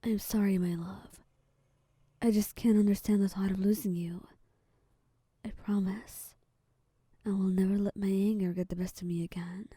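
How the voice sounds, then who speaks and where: A woman voicing a protective young male lover who is fierce but guilt-stricken, in a soft, whispery voice. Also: mid-range voice, fairly even intonation, normal speed, pauses often, slightly rough, no echo, clean studio sound, natural voice. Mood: guilty.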